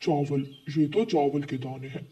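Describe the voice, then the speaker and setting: A man voicing a gentle, food-loving male pigeon in a children's cartoon story. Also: on the high side, measured pace, far from the mic, close to an everyday voice. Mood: pleased, gentle. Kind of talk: Hindi cartoon story acting.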